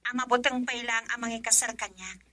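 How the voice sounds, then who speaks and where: A woman plays a heartsick young daughter in an Ilocano radio drama, her voice high and very bright. She talks emotionally, slipping from soft sighs to loud pleading. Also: fairly even intonation, a little fast. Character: young woman torn over love, daughter.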